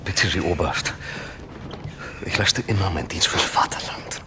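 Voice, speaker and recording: An actor playing a grim, coldly commanding SS officer villain in a video game. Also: male voice, low-pitched, normal speed, background noise, close to an everyday voice. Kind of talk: cinematic game villain acting.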